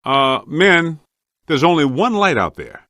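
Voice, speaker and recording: An actor playing a streetwise, wisecracking ghost hunter in a video game, practical and exasperated, with casual, slangy, punchy delivery. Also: male voice, fairly high pitch, very animated, measured pace, sharp tone, no echo, clean studio sound, exaggerated voice. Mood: matter-of-fact.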